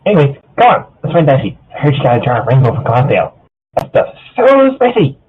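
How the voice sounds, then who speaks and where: A man's voice: medium pitch, huge pitch swings, fast, husky, heavily acted. Man doing a chirpy young pony mare, tinny line. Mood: excited. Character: cheerful, chatty, random young female cartoon pony. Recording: thin phone-like voice chat.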